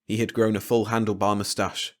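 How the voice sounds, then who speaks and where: A man's voice: lowish voice, level tone, brisk. A man reading a short story aloud as a scripted audiobook narration. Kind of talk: scripted story narration, bedtime read-along.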